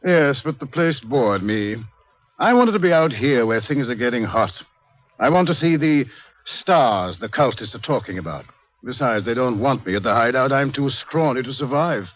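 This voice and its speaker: A man's voice: mid-range voice, expressive, normal speed, close to an everyday voice. Man acting wry scrawny professor guy, swoopy theatrical lecturing, muffled 50s radio drama. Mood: wry, explanatory. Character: scrawny, wry male scientist, eccentric professor foretelling doom. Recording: dull vintage 1950s radio recording.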